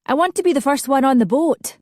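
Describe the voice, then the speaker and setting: Excited young woman, voiced by a woman reader, eager and pitched up. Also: brisk, no room sound, studio quality.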